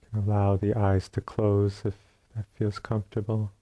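A man leading a guided meditation as a teacher, speaking in short, unhurried phrases with long pauses between them. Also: fairly low pitch, completely flat delivery, warm tone.

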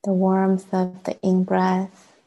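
A woman, a native Chinese speaker, leading a guided meditation as a teacher, gently and with long unhurried pauses. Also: lowish voice, completely flat delivery, poor audio.

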